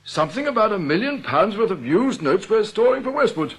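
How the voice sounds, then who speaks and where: An actor with an English accent playing a stiff, earnest, touchy bank manager in a radio drama, formal, clipped and a little hesitant. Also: male voice, high voice, big pitch swings, brisk, a bit bright, breathy edge, exaggerated voice. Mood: puzzled, suspicious. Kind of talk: British radio drama acting.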